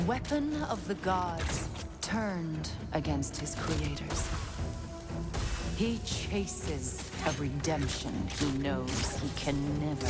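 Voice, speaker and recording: A woman's voice: sits low, exaggerated voice. A woman voicing an ominous otherworldly figure passing judgment in a video game, solemn and portentous. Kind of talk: video game drama acting. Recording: loud music under the voice.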